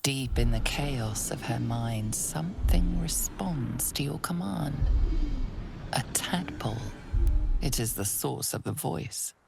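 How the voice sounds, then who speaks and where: An actress playing an ominous storyteller in a dark fantasy game, narrating in a breathy, gravelly, deliberate voice that often nears a whisper. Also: female voice, rumbling low, lively intonation, music in the background, heavily acted. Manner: slow, menacing, deliberate, often near whisper. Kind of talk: scripted second-person game narration.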